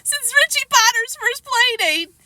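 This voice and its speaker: Woman as a high-pitched whiny little boy who stammers while sobbing, very dry sound. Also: expressive, normal speed, bright, forceful tone, no echo, exaggerated voice. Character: whiny sly little boy, easily scared.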